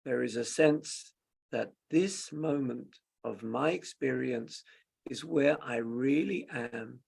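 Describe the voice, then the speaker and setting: A man with a gravelly, husky voice guides a meditation as a teacher, in gentle, unhurried, halting short phrases. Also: medium pitch. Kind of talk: slow guided meditation with long pauses.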